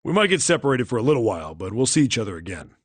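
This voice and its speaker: Big gruff older dude from a video game, husky, blunt n teasing, swingy pitch, dry sound. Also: male voice, sing-song, racing, no echo. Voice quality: husky, rough. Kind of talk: English video game voice acting.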